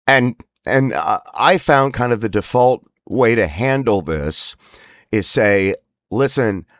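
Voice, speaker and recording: A man's voice: on the low side, animated, slow, no room sound, clean studio sound. A man with a strained, spasmodic voice telling a casual, wry story as host of his own show, rambling and emphatic. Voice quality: spasmodic, strained breaks from spasmodic dysphonia. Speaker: host of a lifestyle series about a voice disorder. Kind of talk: casual anecdotal monologue.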